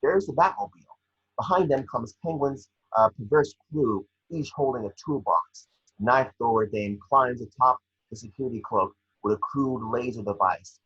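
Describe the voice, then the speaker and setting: Bright, husky man narrating a script. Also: mid-range voice, moderate pace, lo-fi sound. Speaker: scene description reader. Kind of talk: scripted narration at a table read.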